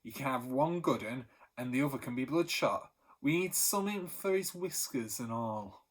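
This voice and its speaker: Man reading as a scrappy street boy, bossy and sarcastic, husky voice with a lift in pitch when riled. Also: animated, moderate pace, close to an everyday voice. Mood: matter-of-fact. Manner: knowing, exasperated sarcasm. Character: boy, scrappy, sharp-tongued London street kid.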